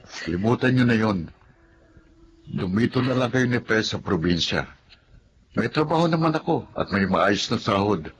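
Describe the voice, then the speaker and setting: An actor playing a caring eldest son who speaks gently and reassuringly in a radio drama. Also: male voice, fairly low pitch, expressive, moderate pace, pauses often, slight background noise, natural voice.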